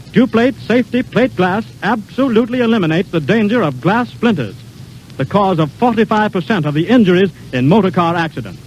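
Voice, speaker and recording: High-pitched American 1930s radio announcer man. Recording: noisy vintage 1930s radio broadcast recording.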